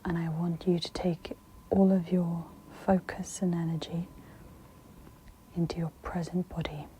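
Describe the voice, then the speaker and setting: A woman hosts a guided ASMR meditation in a soft, breathy whisper. Her delivery is very slow and soothing, with a dark, mellow tone. A faint noise sits behind the close recording. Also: on the low side, level tone, rough recording. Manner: soft, gentle, soothing instruction.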